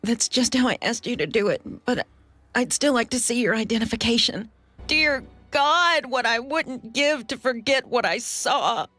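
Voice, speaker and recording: A woman voicing a frightened witness in a mystery game, haltingly recounting something dreadful in a dramatic way. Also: high voice, fairly bright tone, dry recording, exaggerated voice. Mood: guarded, then horrified. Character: shaken, frightened female witness recounting a horror. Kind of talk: video game voice acting.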